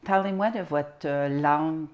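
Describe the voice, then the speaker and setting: A woman hosts a studio interview, asking her guest questions. Her voice sits low for a woman. She speaks in a friendly way, with occasional "euh" fillers between phrases. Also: measured pace, muffled recording. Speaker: host, interviewer.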